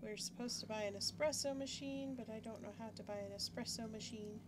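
A woman's voice: a little high, brisk. A young woman giving casual, self-mocking commentary while playing a game, thinking aloud as she goes. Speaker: gaming YouTuber. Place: home setup, webcam in corner. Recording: loud background noise.

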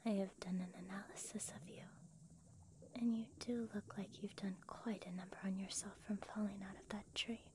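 A woman playing a gentle, soothing young healer in a whispered ASMR roleplay. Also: mid-range voice, steady tone, normal speed, noisy background, close to an everyday voice. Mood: soothing, attentive.

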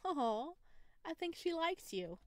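A woman voicing a gentle, self-deprecating young male forest monster from a visual novel, soft-spoken and playfully teasing. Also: very high-pitched, very animated, takes it slowly, no echo, voice pushed up, full character voice. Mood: gentle, warm.

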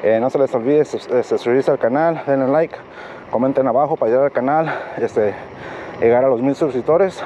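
A man vlogging while cycling at night, speaking Spanish as a learner with a halting manner and many fillers. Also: mid-range voice, warm tone. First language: English. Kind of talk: casual vlog narration while cycling. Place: outdoors on a bike at night on city streets. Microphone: action camera on the bike. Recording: dull action camera audio with road noise. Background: traffic and road noise.

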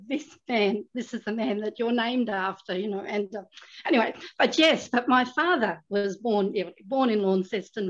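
An older woman sharing family memories on a video call, eager and excited. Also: fairly high pitch. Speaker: audience member. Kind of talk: casual comment on a video call. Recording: dull lo-fi call audio.

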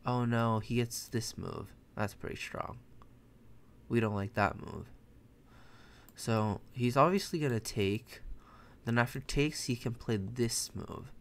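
A man's voice: medium pitch, takes it slowly. Young man thinking aloud over chess. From America. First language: Mandarin. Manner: thinks aloud, chatty, self-correcting. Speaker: chess streamer. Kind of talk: casual live commentary while playing. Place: bedroom at home, indoors.

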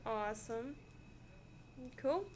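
A woman's voice: a little high, lively intonation. A young woman playing an upbeat schoolteacher who praises her class, in an amateur class skit. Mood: cheerful. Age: young adult. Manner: cheerful, encouraging, quick to praise. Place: small classroom, indoors. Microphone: far camera. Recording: echoey room, far mic, loud hiss.

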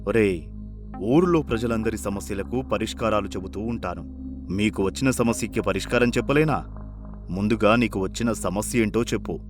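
A man's voice: on the low side, moderate pace, music in the background, close to an everyday voice. A man playing an authoritative, caring older village father who instructs and scolds in a cartoon moral story. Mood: concerned, firm. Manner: firm, instructive. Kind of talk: animated moral-story voice acting.

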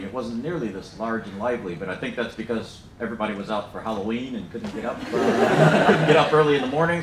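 A man's voice: mid-range voice, recorded from a distance, very noisy. American man joking with a big hall. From United States. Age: middle-aged. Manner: chatty and joking, many fillers and restarts. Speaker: program director. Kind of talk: informal welcome speech to an audience. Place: large lecture hall. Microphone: handheld mic, filmed from the back. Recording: roomy hall, heard from afar.

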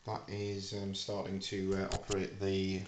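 A middle-aged British man casually demonstrating a homemade device in a hesitant, halting, unscripted way. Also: low voice, completely flat delivery. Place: small hard-walled room indoors. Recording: echoey room, clicks and faint hiss.

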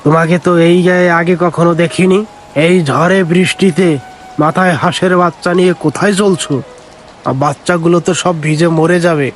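A man's voice: high-pitched, steady tone, fairly quick, slight background noise, lightly acted. A man voicing a greedy, rude middle-aged village man who talks in a haughty, scornful way. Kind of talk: cartoon voice acting.

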